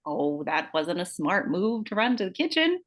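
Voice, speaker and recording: A woman's voice: fairly low pitch, big pitch swings, moderate pace. A woman playing a vain, unhinged beauty-queen murderer in a live screenplay table read, taunting and swearing with theatrical flair. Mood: menacing taunts. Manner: taunting, profane, theatrical outbursts.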